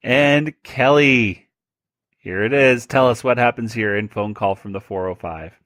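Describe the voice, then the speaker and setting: A woman's voice: a bass voice, measured pace, a bit bright, no room sound, clean studio sound. A woman hosting a podcast in a casual, chatty style. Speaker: podcast host.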